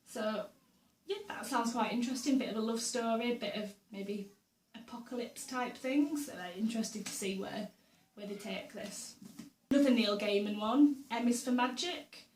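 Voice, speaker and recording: A young woman vlogging casually about books and her week, slightly hoarse from a sore throat, with lots of ums and small laughs. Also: fairly high pitch, moderate pace, darkish tone, a little hiss or hum. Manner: chatty, with frequent ums and uhs and little laughs. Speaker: book vlogger. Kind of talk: casual chat to camera. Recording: roomy echo, voice a bit far from the mic.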